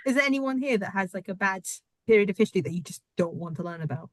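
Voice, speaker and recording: A woman's voice: mid-range voice, animated, dry recording. Chatty woman hosting, dry sound. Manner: chatty, enthusiastic, quick to laugh. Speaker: podcast host. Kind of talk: casual group interview.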